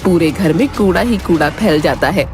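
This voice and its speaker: A woman narrates a cartoon story from a script as its storyteller. Music runs clearly behind her voice. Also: fairly low pitch, animated, normal speed.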